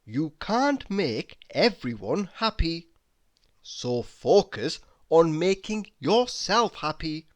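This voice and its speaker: Someone reading joke motivational quotes out loud, super slow and dramatic, voice moves around a lot. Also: medium pitch. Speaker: reader of quotes.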